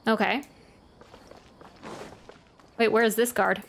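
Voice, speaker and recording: Chatty, higher-voiced woman streamer. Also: fairly even intonation, slow, creaky, slight background noise. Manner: rambling, chatty, self-interrupting. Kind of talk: casual game reaction chat. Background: soft game music.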